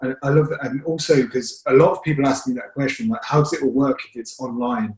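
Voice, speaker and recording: A man's voice: mid-range voice, fairly even intonation, fast talker, a little mellow, echoey room. A man, a fitness coach hosting, asking questions in a chatty, encouraging way over a video call. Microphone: video call webcam.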